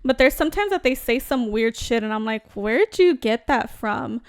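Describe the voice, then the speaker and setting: A woman co-hosting a casual podcast chat, talkative and animated, laughing often. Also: on the high side, normal speed, smooth, no echo, clean studio sound. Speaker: podcast co-host.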